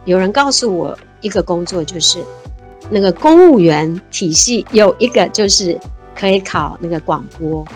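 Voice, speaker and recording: A woman's voice: fairly low pitch, animated, normal speed, faint music behind. Woman in her sixties, reflective. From Taiwan. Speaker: guest. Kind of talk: casual interview chat.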